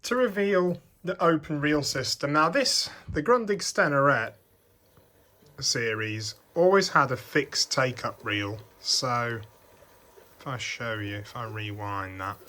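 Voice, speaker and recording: A man's voice: mid-range voice, slow, sharp tone. A man casually demonstrating a vintage tape machine at his workbench, explaining its parts in a chatty, thinking-aloud way. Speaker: vintage electronics hobbyist. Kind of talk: casual hands-on product demonstration. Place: home workbench.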